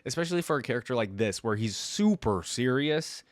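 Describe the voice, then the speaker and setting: A man's voice: mid-range voice, animated, a little fast, dry recording, studio quality. A man hosting a reaction video, giving casual off-the-cuff commentary in a musing way, repeating lines and explaining them.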